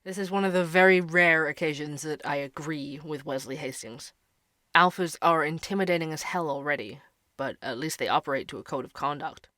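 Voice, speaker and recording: An actor playing a young barista who hosts a podcast, narrating in an earnest, wry way. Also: male voice, fairly high pitch, brisk, pushed and bright, no echo, studio quality.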